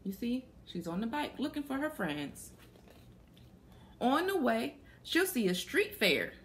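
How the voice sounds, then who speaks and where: Young woman, children's story reader, very lively intonation, unhurried pace, questions for kids, faint background noise. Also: on the high side. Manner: asks young listeners questions while reading. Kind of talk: reading a picture book aloud to children. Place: small plain room indoors.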